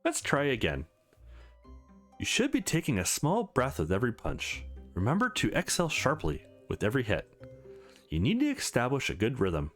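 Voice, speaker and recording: Man as tough, teasing young female trainer, visual novel, lively swings, faint music. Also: mid-range voice, moderate pace. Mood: instructive. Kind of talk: visual novel read-aloud.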